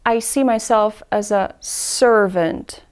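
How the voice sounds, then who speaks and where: A woman, an English tutor answering interview questions in a measured way that lays out her points in order. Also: medium pitch, clear, resonant tone. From Ukraine.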